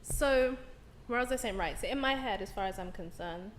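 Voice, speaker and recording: A woman with a British accent hosting a casual chat, talkative and quick to laugh. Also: mid-range voice, a little hiss or hum. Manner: chatty, laughs often, plays with voices. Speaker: host.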